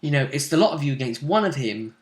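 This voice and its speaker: A man working as game master, casually recapping a tabletop role-playing session with animated, emphatic storytelling in a roomy space. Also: fairly high pitch, fast talker, slight background noise. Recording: roomy, echoey sound.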